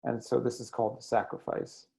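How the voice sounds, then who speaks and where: An American man speaking as a guest in an interview, reflective and hesitant, often pausing mid-sentence as he thinks. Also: medium pitch, flat delivery, creaky, mellow. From United States. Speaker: guest poet and editor. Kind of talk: thoughtful interview conversation.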